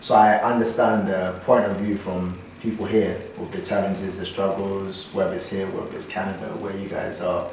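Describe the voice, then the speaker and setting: Man in his thirties, very deep voice, thoughtful and hesitant delivery, recorded off-mic in a cavernous, echoey room. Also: normal speed, hardly any pauses. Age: 30s. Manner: thoughtful, hesitant "um"s, steady affirmations. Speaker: football coach, podcast co-host. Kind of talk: casual group discussion. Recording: echoey room, distant mic, faint noise.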